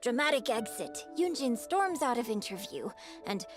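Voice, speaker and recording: A young female opera star in a video game. The voice sits fairly high, with a polite, measured and modest manner. Faint music runs underneath. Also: big pitch swings. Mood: composed, resigned.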